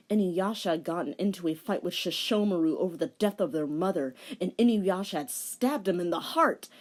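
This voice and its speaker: A woman's voice: fairly low pitch, darkish tone. A woman dramatically narrating fan fiction, theatrical in her storytelling, with wry and casual asides. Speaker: fan fiction reader and commentator.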